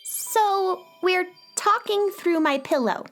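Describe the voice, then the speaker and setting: A woman playing a plucky, curious young space-adventurer girl, wide-eyed and expressive, in a children's audio drama. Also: extremely high pitch, takes it slowly, faint music behind. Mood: surprised, curious.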